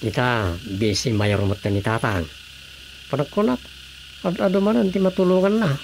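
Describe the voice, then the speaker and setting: Guy acting as folksy ilocano town mayor, creaky voice, comic radio drama, noisy cricket ambience behind. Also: mid-range voice, steady tone, moderate pace, close to an everyday voice. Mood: proud. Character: newly elected small-town mayor, folksy comic husband.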